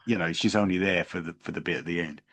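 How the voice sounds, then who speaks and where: A man hosting a podcast, giving a casual, halting recap from his notes. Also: low-pitched, a bit bright, dry recording. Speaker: podcast host.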